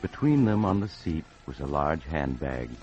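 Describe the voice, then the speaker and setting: An actor as the grave narrator of an old-time radio crime tale. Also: male voice, rumbling low, sing-song, normal speed, darkish tone, muffled recording, faint music behind. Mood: grave storytelling.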